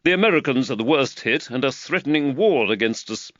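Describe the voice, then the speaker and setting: A man voicing an earnest middle-aged London magistrate who lectures with energy, higher and louder than his own voice. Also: a little fast, no echo, highs cut off, lightly acted. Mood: animated. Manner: lively, preachy, warms to his theme.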